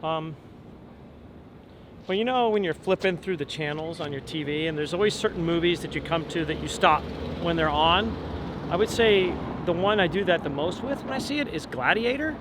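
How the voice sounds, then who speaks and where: A man's voice: fairly high pitch, normal speed. Thoughtful man talking outdoors over street noise. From Long Island, New York. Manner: relaxed, thoughtful, many ums and restarts. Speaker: opera tenor guest. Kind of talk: casual interview while walking.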